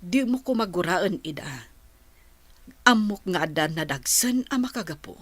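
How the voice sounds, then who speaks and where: An actress playing a gentle older adoptive mother in a radio drama, with a low husky voice and an Ilocano accent. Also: expressive, moderate pace, no room sound. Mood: gentle, pleading.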